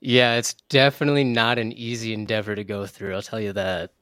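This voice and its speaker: A man's voice: lowish voice, flat delivery, fairly quick, bright, forceful tone, no echo, clean studio sound. A young American man chatting casually as an interview guest, with an informal, slangy, effusive way of talking. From southern Minnesota, United States. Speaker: interview guest, wildlife photographer.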